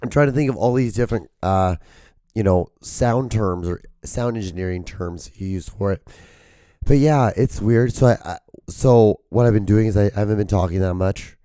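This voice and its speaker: A hoarse man with a low, mellow voice that keeps cracking and dropping out. He rambles through his solo podcast and swears casually. His voice sounds close and dry on the mic. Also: normal speed, no echo. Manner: rambling, swears casually, strains to hold back his volume. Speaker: podcast host.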